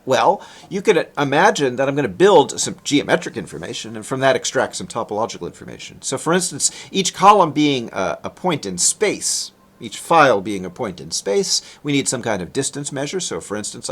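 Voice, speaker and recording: A man presents technical research in an explanatory, conversational lecture style. His intonation is lively and moves up and down a good deal. He talks as a researcher walking listeners through slides. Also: medium pitch, brisk, hardly any pauses, breathy edge. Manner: explanatory, conversational, with rhetorical questions.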